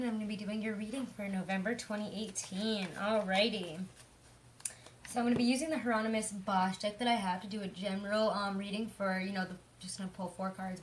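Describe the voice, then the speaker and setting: A young woman with a hoarse voice giving a chatty, rambling tarot reading, full of fillers. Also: medium pitch, slight background noise. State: hoarse from illness. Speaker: tarot reader. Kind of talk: casual tarot reading monologue.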